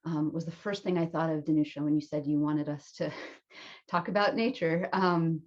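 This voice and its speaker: A woman's voice: low voice, steady tone, moderate pace, highs cut off. A woman poet speaking informally and earnestly, gracious in tone, as she introduces her reading as a guest.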